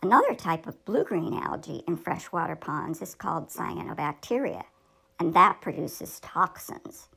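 Woman playing an earnest schoolgirl explainer, creaky voice. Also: lowish voice, expressive, moderate pace, sharp tone, exaggerated voice, rough recording. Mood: explaining. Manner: explanatory, instructive. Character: knowledgeable fifth-grade girl in a puppet show, earnest explainer. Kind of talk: scripted puppet show dialogue.

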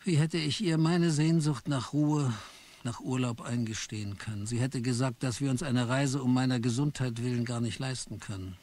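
A man's voice: mid-range voice, normal speed, a little mellow, close to an everyday voice. A man as a weary, bitter clerk narrating. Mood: brooding. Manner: bitter, tired and brooding. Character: weary, put-upon office clerk Charlie. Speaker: narrator and main character. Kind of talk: first-person radio play narration.

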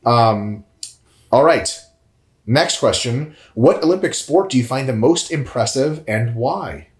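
Man, thirties, casual chat, jokey, swearing, mid pitch, roomy echo. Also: a little slow. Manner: animated, profane, jokey, swings in pitch. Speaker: co-host answering viewer questions. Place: indoor room, on a couch. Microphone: lapel mic. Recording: echoey room.

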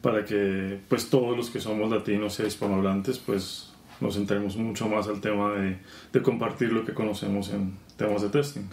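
A Colombian man guest talking casually about his work in software testing, relaxed with many fillers. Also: on the low side, normal speed. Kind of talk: casual interview. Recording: roomy conference venue.

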